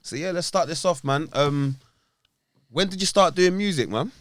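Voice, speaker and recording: A man's voice: a little high, expressive, moderate pace, a bit bright, no echo. A man co-hosting a podcast, using lots of slang and hyped, eager interjections. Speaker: podcast co-host. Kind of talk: casual podcast chat.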